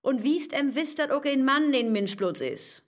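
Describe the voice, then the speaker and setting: A woman's voice: sits high, expressive, a little slow. An actress with a northern German accent playing an outspoken, mocking woman friend in a verse radio play, emphatic and teasing. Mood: emphatic disdain. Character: brash, shrewd, outspoken female confidante, fearless and mocking.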